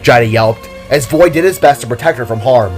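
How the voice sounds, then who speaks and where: A man's voice: mid-range voice, fairly quick. A man reading scripted, dramatic story narration. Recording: music and rain under the voice. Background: music, rain and thunder.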